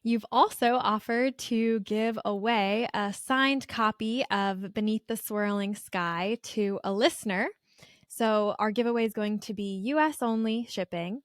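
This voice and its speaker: A woman's voice: medium pitch, measured pace, no echo, studio quality. A woman hosting a podcast, interviewing a guest and making announcements in a conversational way. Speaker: podcast host.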